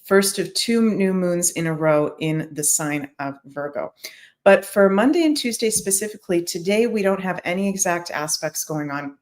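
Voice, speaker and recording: A woman's voice: on the low side, lively intonation, moderate pace. Woman astrology host, a bit scratchy. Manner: chatty, addresses viewers as "you guys". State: slightly scratchy voice. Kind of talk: casual daily horoscope talk to viewers.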